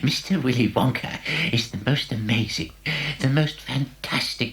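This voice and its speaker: A really old grandpa, super excitable, trips over his words, voice leaping up and down, storybook play. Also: on the high side, heavily acted. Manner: excited, stammering, chuckling. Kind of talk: audio dramatisation.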